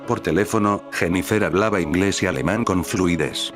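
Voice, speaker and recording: A man giving scripted narration in an even, read-aloud manner. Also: low-pitched, normal speed. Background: faint music.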